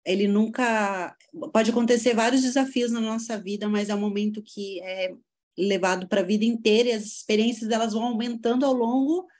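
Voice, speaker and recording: A woman's voice: fairly high pitch, steady tone, a little fast, a little mellow. A woman hosting a faith podcast, asking long questions and affirming her guest. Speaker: podcast host. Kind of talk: casual interview chat.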